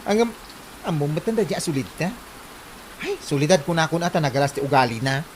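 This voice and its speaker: A woman's voice: low voice, expressive, quick pace, darkish tone, a little hiss or hum. A woman acting a gushing, gossipy village best friend in an Ilocano comedy drama, with an Ilocano accent.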